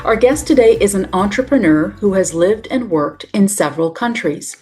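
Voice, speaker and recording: A woman hosting a parenting podcast, introducing her guest and asking questions in a measured, polished way. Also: mid-range voice, lively intonation, a little mellow, breathy edge, soft background music. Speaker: podcast host. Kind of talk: podcast interview.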